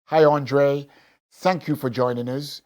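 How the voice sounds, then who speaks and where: A man's voice: medium pitch, steady tone, slow pace, a little mellow. A man presenting a local television interview, asking plain, stacked questions.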